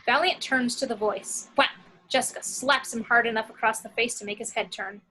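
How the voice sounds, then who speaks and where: A woman narrates a script as the reader of action lines. Her voice is on the high side and clearly bright, with a forward edge. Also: normal speed, poor audio. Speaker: narrator reading action descriptions.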